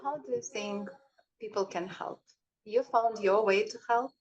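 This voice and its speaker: A woman's voice: medium pitch, unhurried, highs cut off, a little hiss or hum. A woman hosting an interview, effusive and emotional, repeating words as she praises and asks questions. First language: Ukrainian. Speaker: interviewer, channel host.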